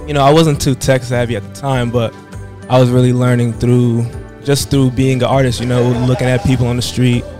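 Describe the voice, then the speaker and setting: A man's voice: medium pitch, little pitch movement, a little fast, a little mellow. A man in his twenties from the Washington DC area talks about his clothing brand in an easygoing, conversational way. He fills his sentences with casual asides. Faint music sits under his voice. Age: 20s. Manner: easygoing, sprinkled with "you know". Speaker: fashion designer interviewed. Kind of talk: casual interview answers.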